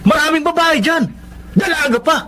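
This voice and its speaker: A man's voice: very high voice, normal speed, bright, forceful tone. A man playing a hot-headed, scornful older brother in a Filipino radio drama, scolding and exclaiming. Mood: exasperated, scornful.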